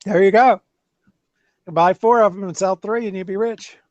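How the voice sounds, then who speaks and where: A man's voice: high voice, fairly even intonation, moderate pace, no echo. A man hosting a casual car chat, talking in loose, rambling sentences with many restarts. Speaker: podcast host. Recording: dull lo-fi dry sound.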